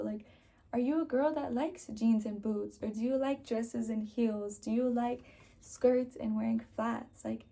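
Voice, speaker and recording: Casual beauty vlogger, young woman, fairly high, fry-heavy voice, a bit hoarse from a sore throat. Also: dark tone. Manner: chatty, sprinkles in lots of "like". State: sore throat, losing her voice. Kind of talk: casual chat to camera.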